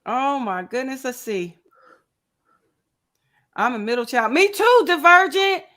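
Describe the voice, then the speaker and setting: A woman's voice: high voice, very animated, a little slow, a bit bright. A woman in her fifties hosting a casual livestream, chatty and greeting viewers by name with frequent laughs. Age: 50s. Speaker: crochet channel host.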